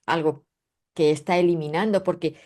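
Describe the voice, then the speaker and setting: A woman with a notably low voice and a Castilian accent from Spain explains things conversationally, as a guest in an interview. She is emphatic and didactic, punctuating her points with short rhetorical questions. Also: fairly even intonation, normal speed, mellow. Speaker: guest, astrologer and spiritual researcher.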